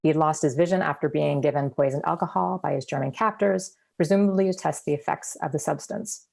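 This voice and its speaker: A woman presenting a seminar paper, reading in a measured way with frequent ums and uhs. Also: low voice, vocal fry, darkish tone. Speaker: academic presenter.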